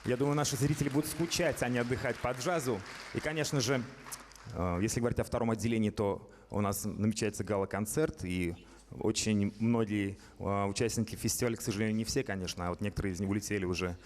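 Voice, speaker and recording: A man with a low voice talks fast on a concert stage, rambling with frequent hesitations while he hands out gifts. His microphone rings with long hall reverb. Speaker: festival organizer presenting gifts. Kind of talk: stage speech.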